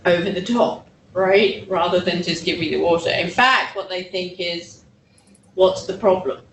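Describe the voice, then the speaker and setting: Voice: medium pitch, slight background noise. A co-lecturer adding comments in a classroom, explaining in a wry way. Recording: roomy, distant from mic.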